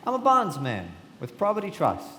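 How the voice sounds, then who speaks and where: A man's voice: high voice, huge pitch swings, moderate pace, a bit bright, breathy edge, exaggerated voice. An actor playing a reflective, well-bred young bond salesman narrating his memories in a live radio play. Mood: polite. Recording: echoey hall, live stage.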